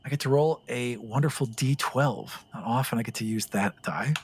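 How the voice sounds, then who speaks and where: A man playing a tabletop game, chatting casually and improvising story in an expressive way. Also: mid-range voice, normal speed, a little hiss or hum.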